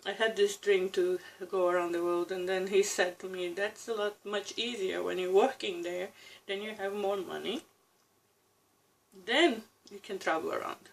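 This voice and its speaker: A woman's voice: mid-range voice, steady tone, gravelly, low-quality recording. A Brazilian woman in her thirties with a Brazilian Portuguese accent, talking reflectively to camera in a personal vlog. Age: 30s. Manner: reflective, with pauses and restarts, soft laughs. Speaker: vlogger. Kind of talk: casual personal talk to camera.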